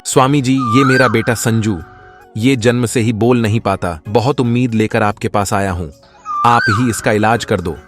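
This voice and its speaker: Man acting a low-voiced, troubled village father. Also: steady tone, moderate pace, over music, natural voice. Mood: pleading. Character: worried village farmer father, quick to suspicion and frustration. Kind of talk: animated moral story voice acting.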